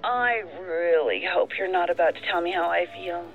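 An actress playing a frustrated, self-doubting federal agent, earnest and pointed, heard through a phone filter in an audio drama. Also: female voice, lowish voice, moderate pace. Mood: irritated. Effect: telephone filter. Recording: tinny phone line, faint music behind.

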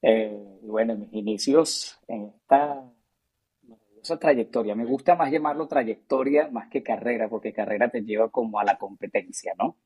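A man's voice: lowish voice, moderate pace, a little mellow, breathy edge. A Venezuelan man in his thirties, a singer-songwriter being interviewed, answering in a gracious, earnest way with many repeated words and fillers. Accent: Venezuelan Spanish. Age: 30s.

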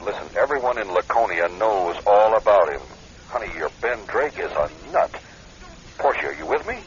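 A man's voice: on the low side, expressive, moderate pace, a little hiss or hum, lightly acted. An American man playing a blunt, protective friend in a radio drama, urgent and colloquial in his talk. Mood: blunt, insistent. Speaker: actor in a radio play. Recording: dull lo-fi radio recording.

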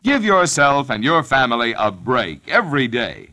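A man's voice: medium pitch, very animated, normal speed, bright, forceful tone, faint music behind. A man reading an old-time radio advertisement as a commercial announcer.

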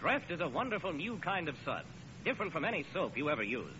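A male commercial spokesman reads in 1940s radio style. His voice is fairly high and animated, on a muffled old recording. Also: fast talker, background noise. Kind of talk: 1940s radio advertising read.

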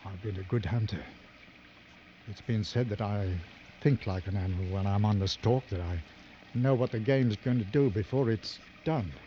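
An actor playing a weary, shaken big-game hunter, candid and grim, in 1950s radio drama style. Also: male voice, lowish voice, normal speed, mellow, close to an everyday voice. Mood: weary confession. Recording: muffled, noisy old radio drama recording.

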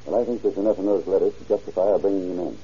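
An actor playing a brisk, authoritative county sheriff with clipped questioning in an old radio crime drama. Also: male voice, deep voice, steady tone, dark tone, slightly rough. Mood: businesslike. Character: brisk, businesslike county sheriff, probing and commanding. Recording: dull, muffled old radio recording.

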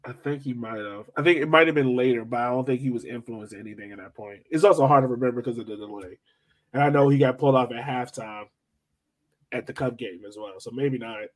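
A man's voice: mid-range voice, quick pace. A man in a casual sports podcast discussion, thinking aloud and posing questions in a tentative way. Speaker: soccer podcast co-host.

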